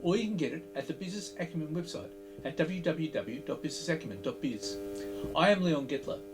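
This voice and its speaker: Male host, fairly high voice, announcer delivery, guitar music behind. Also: animated. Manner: measured, announcer-like. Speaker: podcast host. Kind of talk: scripted intro and interview questions. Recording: music bed under the voice.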